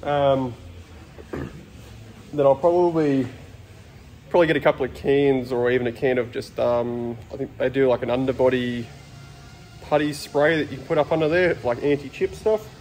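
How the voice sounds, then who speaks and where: A man in his thirties with an Australian accent, hoarse from a cold, rambling casually with lots of ums while filming himself on a phone in a large metal-walled workshop. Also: medium pitch, normal speed, long pauses, slight background noise. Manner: rambling and relaxed, many ums. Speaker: vlogger. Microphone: phone held at arm's length.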